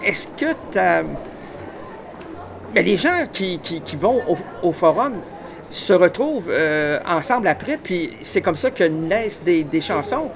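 A man's voice: sits high, very animated, measured pace, background noise. A man in his fifties with a Quebec French accent, hosting a radio interview and asking eager questions that stumble over repeated words. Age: 50s. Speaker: radio host.

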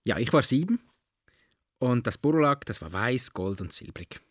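A man's voice: medium pitch, very animated, normal speed, fairly bright tone, dry recording, clean studio sound. A man with a Swiss German accent tells an anecdote casually, conversationally searching for words and adding tag questions.

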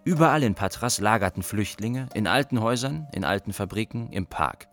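A man's voice: on the low side, expressive, fairly quick, soft background music. A man playing an observant, matter-of-fact young refugee who narrates a radio play.

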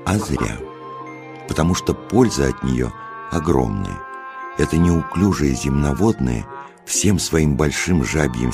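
A man narrating a scripted children's nature tale as an expressive storyteller. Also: a bass voice.

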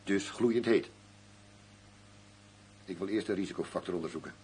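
An actor playing a shrewd, stubborn arms dealer in a crime radio drama, speaking in a curt, commanding and condescending manner. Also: male voice, low-pitched, normal speed, darkish tone, close to an everyday voice. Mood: hard, condescending. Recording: faint noise and hum.

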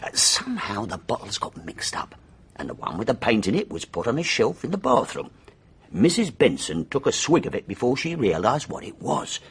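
An actor playing a blunt, confident British male investigator in a radio drama, brisk and colloquial in manner. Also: medium pitch, sing-song, slightly rough, heavily acted. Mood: matter-of-fact. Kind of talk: BBC radio drama acting.

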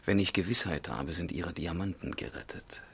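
An actor playing a young, polite, level-headed police sergeant in a radio crime drama, speaking in a courteous, dry, probing way. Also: male voice, deep voice, normal speed, husky. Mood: calm, firm.

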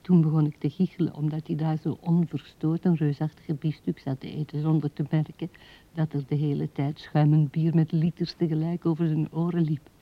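A woman's voice: low-pitched, level tone. Een vrouw speelt een oude weduwe die mijmerend en haperend tegen haar overleden man praat in een hoorspel. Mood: fond reminiscing. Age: older. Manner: musing, halting, with breathy asides. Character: old widow musing tenderly to her dead husband. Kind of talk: radio drama monologue. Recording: dull, band-limited 1970s radio recording.

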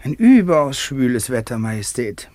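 Imperial valet for radio comedy, male actor: unruffled, pompous, precise etiquette, medium pitch, highly modulated delivery. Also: big pitch swings, darkish tone, close to an everyday voice. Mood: servile, formal. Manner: formal, measured, officious. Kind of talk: satirical radio play acting.

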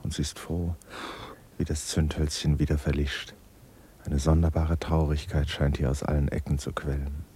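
A man narrating a literary love story in a radio play, in a measured, subdued, melancholy way. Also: rumbling low, little pitch movement, mellow, a little hiss or hum. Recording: radio production, faint music.

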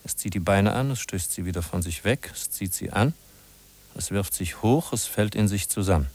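A man's voice: deep voice, normal speed, a little mellow. A man acting as the narrator of a 1950s German radio drama, an urgent, musing guide who addresses the listener with emphatic rhetorical questions. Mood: grave, intent. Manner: rhetorical, emphatic, questioning then answering himself.

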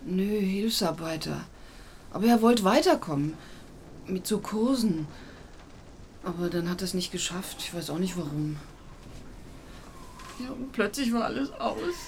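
A woman's voice: mid-range voice, expressive, background noise. A woman playing a worried, worn-out pregnant working-class woman in a radio drama, speaking plainly and hesitantly. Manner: hesitant, halting, plain-spoken. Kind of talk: naturalistic radio drama dialogue.

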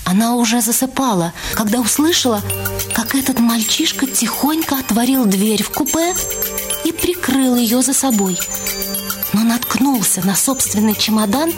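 A woman's voice: on the high side, moderate pace. A woman reading scripted literary narration for a dramatised radio production. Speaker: narrator and reader in a radio play. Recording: music under the voice. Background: music.

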